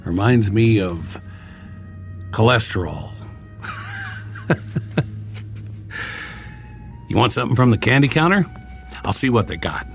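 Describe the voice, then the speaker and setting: A folksy american soldier in a radio play, wry and rambling, lowish voice that jumps around, music behind. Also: male voice, very animated, slow pace, gravelly, long pauses, exaggerated voice. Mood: joking. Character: chatty, wry, friendly American soldier, lonely war survivor. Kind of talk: radio drama acting.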